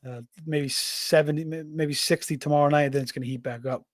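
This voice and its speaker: Middle-aged male stream host, slightly raspy from a cold, rambly and casual, somewhat high voice, dry close mic. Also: fairly even intonation, brisk, no echo. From Florida. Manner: rambling, tangents, frequent fillers and restarts. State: slightly hoarse, voice going raspy. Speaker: fantasy football show host. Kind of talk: casual live-stream sports talk.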